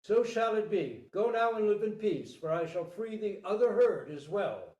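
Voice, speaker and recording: A man playing a proud, regal human king in a storybook parable, declamatory and drawn-out, humbled by what he hears. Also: high voice, sing-song, a little mellow, recorded from a distance, voice pushed up. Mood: solemn. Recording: roomy, echoing live recording.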